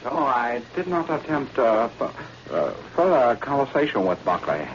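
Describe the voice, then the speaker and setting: An actor playing a servile, stuttering Chinese assassin in halting, formal English, in 1940s radio drama style. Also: male voice, mid-range voice, sing-song. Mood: sly. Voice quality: stammering. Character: stuttering, servile Chinese man, secretly a quiet knife-wielding assassin. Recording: muffled, noisy old-time radio recording.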